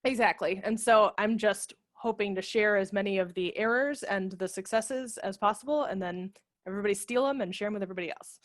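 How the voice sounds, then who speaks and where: A woman in her thirties giving informal practical instruction on a video call, explaining with quick asides. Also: mid-range voice, no room sound. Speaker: theatre director and workshop presenter. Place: home room with brick wall, video call. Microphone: headset microphone.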